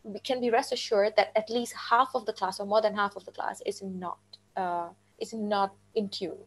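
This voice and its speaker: A woman's voice: mid-range voice, fairly even intonation, moderate pace, low-quality recording. A woman co-hosting a live webinar, speaking earnestly in casual chat full of "like" fillers. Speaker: webinar co-host, teacher. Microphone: video call.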